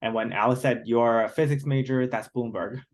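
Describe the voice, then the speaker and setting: A man's voice: mid-range voice, steady tone, normal speed, slight background noise. A young man from China speaking as a guest on a video call, friendly and rambling, often restarting. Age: young adult. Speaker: guest speaker, doctoral student. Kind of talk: casual chat on a video call.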